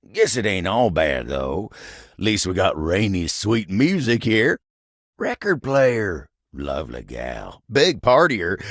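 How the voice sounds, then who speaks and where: An actor voicing a bashful, folksy, old-fashioned talking table in a video game, with a gravelly voice and an American Southern, Western-style drawl. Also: male voice, on the low side, fairly bright tone, no room sound, clean studio sound, exaggerated voice. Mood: warm, fond. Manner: folksy, rambling, flustered hesitations. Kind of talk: video game character dialogue.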